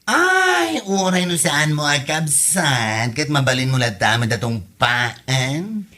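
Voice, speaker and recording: An actor voicing a loud, nosy, flamboyant gossiping neighbour in an Ilocano comedy drama, with an Ilocano accent and theatrical, taunting exclamations. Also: mid-range voice, sing-song, slow pace, heavily acted. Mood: teasing.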